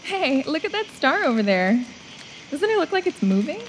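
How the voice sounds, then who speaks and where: A young female camper in a horror audio play. Her voice is a little high, dry and sarcastic, with an observant edge, and there is faint noise behind it. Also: big pitch swings, normal speed, poor audio. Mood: curious. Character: sardonic, observant young woman on camping trip. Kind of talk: live horror audio drama acting.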